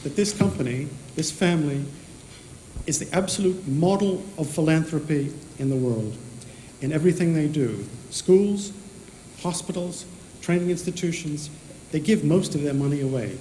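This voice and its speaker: A man's voice: on the high side, moderate pace, a little hiss or hum. A man giving a short, earnest tribute speech at an event. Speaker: event speaker. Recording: echoey hall with soft music.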